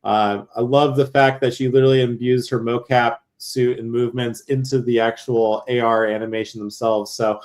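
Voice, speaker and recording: A man's voice: mid-range voice, flat delivery, normal speed, few pauses. A man hosting an online creator showcase, upbeat and loose, thanking speakers and introducing the next one with many fillers. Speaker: cohort host and moderator. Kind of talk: casual live event hosting.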